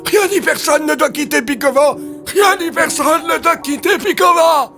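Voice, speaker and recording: Demented old man, very high shrieking voice, hysterical, repetitive, audio fiction, music behind. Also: normal speed, creaky, pushed and bright, full character voice. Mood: hysterical warning. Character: crazed old man shrieking about magic and doom.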